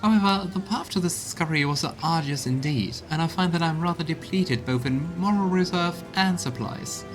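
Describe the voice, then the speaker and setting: Man acting genteel lady explorer, raised pitch, formal and gushing, fantasy game letter, background music. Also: normal speed, few pauses, lightly acted. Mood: pleading. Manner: formal, florid, theatrical. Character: effusive, well-bred lady explorer writing a flowery letter.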